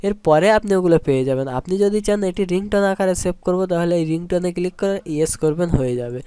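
A man's voice: on the high side, mellow, smooth, dry recording. A man giving a spoken step-by-step app tutorial in an instructional way, often repeating his phrases. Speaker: tech tutorial host.

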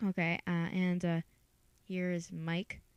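Low-pitched, creaky-voiced young-sounding casual woman. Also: monotone, takes it slowly, darkish tone, dry recording, highs cut off. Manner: hesitant, informal, keeping voice down. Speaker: amateur voice actor auditioning. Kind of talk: casual self-introduced audition recording. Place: bedroom.

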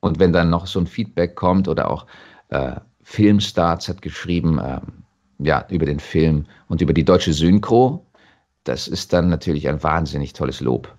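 A man with a German accent talking reflectively as an interview guest, thoughtful and halting as he restarts his phrases. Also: sits low, darkish tone, muffled recording.

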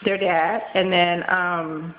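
A woman in her thirties with a nasal voice, congested from a cold and tired, talking fast in a rambling casual vlog full of ums and likes, recorded close on a webcam in a small room at home. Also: lowish voice, flat delivery. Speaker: vlogger. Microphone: built-in webcam mic, close. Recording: dull lo-fi webcam audio with faint hiss.

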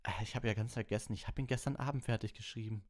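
A man's voice: on the low side, steady tone, fast talker, pitched above the performer's own voice, lightly acted. A man voicing a young teenage boy hero with an evasive, hesitant manner, in an audiobook.

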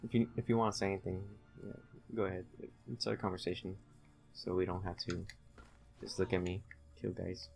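A man's voice: low-pitched, fairly even intonation, fairly quick, vocal fry, a little mellow, faint music behind. A man giving casual, laid-back, joking gameplay commentary to a friend, thinking aloud as he plays. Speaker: let's play host, playing the game.

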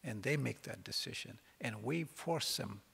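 Gravelly, husky man in a hall. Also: medium pitch, lively intonation, fairly bright tone. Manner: earnest, halting with restarts. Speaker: keynote speaker. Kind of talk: lecture. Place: large college concert hall. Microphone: podium mic. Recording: long hall reverb.

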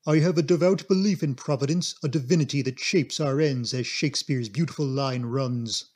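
A man's voice: mid-range voice, normal speed, a little mellow, natural voice. A man voicing a fretful, self-pitying middle-aged society mother in an audiobook, genteel, plaintive and rambling. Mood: pious.

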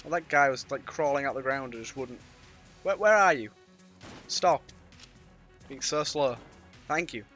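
British male gaming YouTuber, bright slightly raspy voice with a cold, playful casual commentary over game music. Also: medium pitch, unhurried, pauses often. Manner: playful, mildly sweary. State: has a cold. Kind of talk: casual let's-play commentary.

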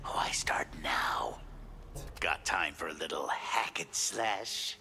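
An actor voicing a sadistic, darkly playful masked killer in a video game, gravelly and breathy, mocking as he savors his threats. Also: deep voice, expressive, slow pace, sharp tone, in a whisper, full character voice. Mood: gleefully taunting. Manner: mocking, menacing, savoring words. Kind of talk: video game villain dialogue.

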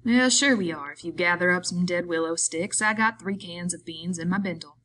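A woman voicing a gruff, exasperated working man who gives brusque orders in rough rural slang, in an audiobook story. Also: on the low side, moderate pace, fairly bright tone, close to an everyday voice. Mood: bossy. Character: gruff, bossy, exasperated working man minding his friend.

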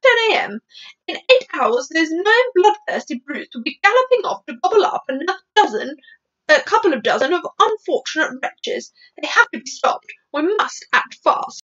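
A woman's voice: extremely high pitch, sing-song, normal speed, a bit bright, dull audio, pitched above the performer's own voice, full character voice. A woman voicing an indignant, blustering male head of the army in a storybook, speaking emphatically. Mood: alarmed. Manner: emphatic, exasperated military bluster.

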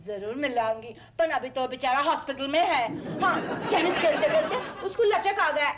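A woman's voice: sits high, moderate pace, a loud crowd, natural voice. An actress playing a theatrical, girlish middle-aged neighbour lady in a television sitcom, drawing out her words and mixing English into broken Hindi. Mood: gushing. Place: indoor TV studio set. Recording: very noisy old TV studio soundtrack.

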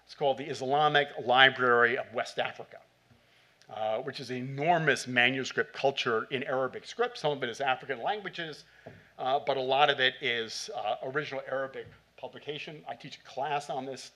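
A man's voice: mid-range voice, brisk, sharp tone. A middle-aged man answering questions after a conference talk in a hall, speaking in short bursts with many fillers. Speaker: conference presenter. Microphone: podium mic.

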